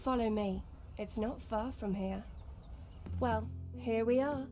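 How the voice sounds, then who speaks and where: Sultry vengeful seductress. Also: female voice, a little high, slow, warm tone, soft background music. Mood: flirtatious. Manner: sultry, coaxing. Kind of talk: video game voice acting.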